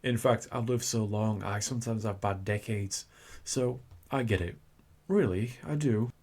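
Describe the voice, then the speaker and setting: A man's voice: fairly low pitch, unhurried, a little mellow. A man playing a suave, teasing incubus demon in a scripted ASMR roleplay, with theatrical delivery. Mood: apologetic, sympathetic. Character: seductive, cocky male incubus demon turning flustered and tender.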